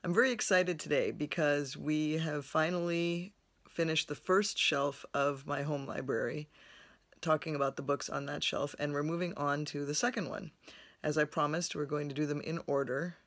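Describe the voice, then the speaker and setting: A woman from Lebanon hosting a book review vlog from her home library, speaking in a thoughtful, measured way with frequent pauses. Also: sits low, fairly even intonation, fairly bright tone. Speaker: host.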